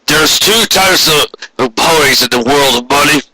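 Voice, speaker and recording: A man voicing a big, gruff, cynical stallion boss in a storybook, with a slow, forceful, grudging way of talking. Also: fairly high pitch, voice pushed up, exaggerated voice, low-quality recording. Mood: cynical, grumbling.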